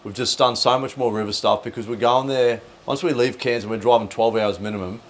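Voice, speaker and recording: A man, a guest chatting in a relaxed way about his outings. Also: mid-range voice, a little fast. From born in Denmark, raised in Australia. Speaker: guest, outdoor adventurer. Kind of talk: casual chat.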